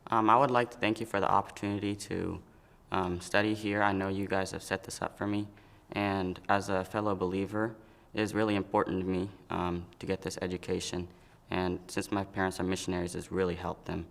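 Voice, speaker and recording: Shy teen boy from senegal, pular is his first language, super low gravelly voice, pretty flat. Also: a little fast. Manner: shy, hesitant with frequent ums. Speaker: student. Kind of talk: thanking donors to camera.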